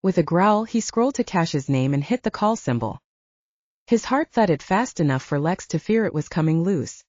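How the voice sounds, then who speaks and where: A woman's voice: lowish voice, expressive, moderate pace, darkish tone, no room sound. A woman reading scripted fiction expressively as an audiobook narrator, in a dull, muffled recording.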